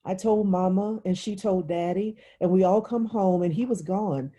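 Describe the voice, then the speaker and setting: A woman plays an upset young girl in an audiobook story, confiding in plain childlike words. The voice is soft and very mellow with a husky edge, reluctant and easily exasperated. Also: medium pitch, normal speed, highs cut off, pitched above the performer's own voice, close to an everyday voice. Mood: agitated recounting. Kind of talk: literary audiobook dialogue.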